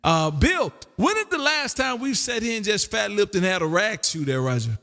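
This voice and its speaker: An older man around sixty talks in a fairly high-set voice with long reverb around it. He chats in a folksy, rambling way as an amateur radio host, often addressing his listener as "man". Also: big pitch swings, moderate pace, vocal fry, bright, forceful tone. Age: older, about 60. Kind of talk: casual rambling radio chat. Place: home radio room. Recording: long echoing room reverb.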